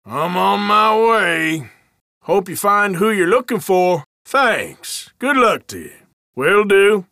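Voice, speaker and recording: An actor playing an irritable, wary Old West outlaw in a video game, with a rural American drawl and a folksy, sardonic, defensive manner. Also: male voice, sits high, very animated, takes it slowly, creaky, sharp tone, no echo, studio quality, exaggerated voice. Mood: conciliatory. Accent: American, rural Western drawl. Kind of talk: game voice lines, short barks.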